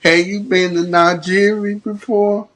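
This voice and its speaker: A man hosting a podcast, talking in a slow, laid-back way with short reactions. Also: high-pitched, level tone, fairly bright tone, a little hiss or hum. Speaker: podcast host. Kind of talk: casual conversation.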